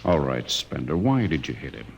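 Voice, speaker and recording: An actor playing a commanding, level-headed expedition captain, authoritative and measured, in a radio drama. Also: male voice, a bass voice, very animated, gravelly, big-room sound, a little hiss or hum, full character voice. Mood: calm, reasoning.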